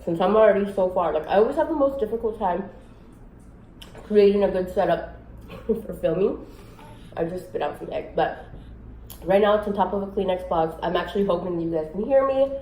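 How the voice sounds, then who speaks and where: A young woman vlogging casually to camera at home, a little sick, rambling with many fillers in a raspy voice. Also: animated, moderate pace, long pauses, dark tone. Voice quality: raspy, low voice. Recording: echoey room, faint noise.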